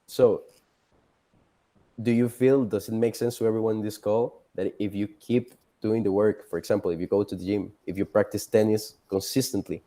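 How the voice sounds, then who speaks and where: Mellow, low-voiced man coaching a group. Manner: informal, encouraging, peppers talk with rhetorical questions. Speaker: career coach leading a group call. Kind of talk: casual motivational coaching on a group call. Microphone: video call.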